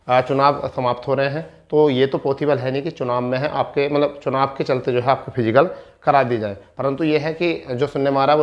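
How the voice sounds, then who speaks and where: A man, explaining informally like a coaching teacher, repeating points and checking in often. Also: mid-range voice. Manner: repetitive, explanatory, with frequent check-in tags. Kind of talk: informal instructional explanation at a board. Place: indoor studio in front of a digital board. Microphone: clip-on lapel mic.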